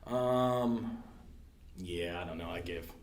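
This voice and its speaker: A man's voice: low voice, slow pace. A man who is a podcast guest, hesitant and searching for answers, laughing at himself. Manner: hesitant, searching for words, self-deprecating laughs. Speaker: podcast guest, library staff. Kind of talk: casual quiz chat. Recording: roomy echo with faint background hiss.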